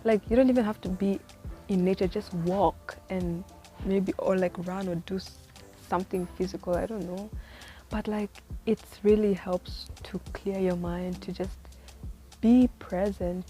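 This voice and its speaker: A woman's voice: mid-range voice, mellow. Chatty, rambling twenty-year-old woman. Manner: chatty, rambling, full of "like" and "you know"; laughs often at herself. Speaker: vlogger giving life advice. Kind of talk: casual rambling monologue to camera. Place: in the city where she lives.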